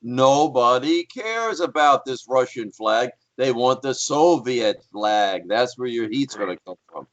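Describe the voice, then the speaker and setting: A man's voice: mid-range voice, animated, unhurried, few pauses, a bit bright, dull audio. A man, a guest on a podcast panel, talks bluntly and emphatically and swears freely. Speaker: guest, former wrestler and actor. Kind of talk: casual podcast panel chat.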